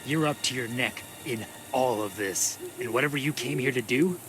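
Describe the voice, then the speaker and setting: A man's voice: mid-range voice, moderate pace, noisy background, close to an everyday voice. A man voicing a cynical, domineering killer in a video game, commanding and sardonic. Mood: cold, commanding. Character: cynical, menacing, domineering killer who calls himself damned. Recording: music behind.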